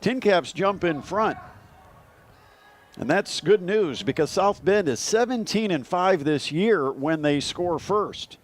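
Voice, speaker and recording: A man's voice: sits high, lively intonation, slow pace. A man with an American accent giving live baseball commentary and breaking down the plays.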